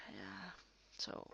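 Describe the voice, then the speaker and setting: A woman improvising an intimate one-sided roleplay as a tired, affectionate writer talking to a partner late at night, halting and breathy, often dropping to a near whisper. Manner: hesitant, halting, with frequent sighs and soft laughs. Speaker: audio roleplay performer.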